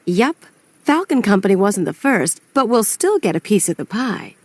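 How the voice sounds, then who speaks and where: An actress with an American accent playing a determined, dry-witted adventurer heroine in a video game, plain-spoken and resolute. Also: female voice, mid-range voice, very animated, moderate pace, no echo. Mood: upbeat. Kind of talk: dramatic video game voice acting and narration.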